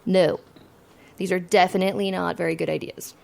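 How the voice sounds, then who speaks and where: A woman's voice: on the low side, little pitch movement, fairly quick, creaky, a little mellow. A young woman playing an ambitious finance student in an audio drama, talking in a dry, self-mocking way. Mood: wry. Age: young adult.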